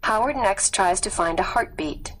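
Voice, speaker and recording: A woman narrating a scripted nonfiction story in a measured, clearly read delivery. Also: medium pitch, animated, a little hiss or hum, low-quality recording. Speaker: audiobook narrator.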